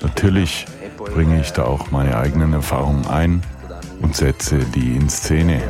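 Very deep male voice-over translator, calm read, music bed behind. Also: unhurried. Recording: voice-over with clear music underneath.

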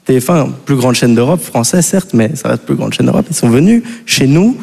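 A man from Belgium with a Belgian French accent doing a live stand-up routine. He rambles casually and keeps turning to the audience with quick asides. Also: medium pitch, animated, vocal fry, warm tone, husky. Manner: rambling and chatty, full of "tu vois" and "les gars" asides to the audience. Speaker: stand-up comedian. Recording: long hall reverb from a live stage.

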